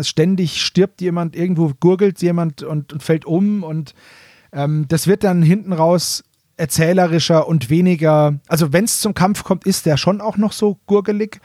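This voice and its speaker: A man's voice: on the high side, a little mellow, no echo, clean studio sound. A man co-hosting a podcast, retelling a story in casual, colloquial phrases and correcting himself as he goes. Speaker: podcast co-host.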